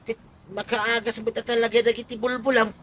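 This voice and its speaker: A man's voice: extremely high pitch, level tone, brisk, fairly bright tone, a little hiss or hum. A man playing a cocky, crafty, cajoling pal in an Ilocano comedy drama, with an Ilocano accent. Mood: sly, amused.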